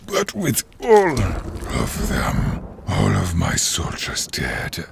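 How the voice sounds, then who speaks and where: A man voicing a contemptuous, menacing undead warlord with a gravelly, breathy rasp in a scripted fantasy roleplay. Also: low voice, huge pitch swings, background noise, exaggerated voice. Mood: gloating menace. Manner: menacing, slow, theatrical. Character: contemptuous undead male warlord with a bitter grudge against knights.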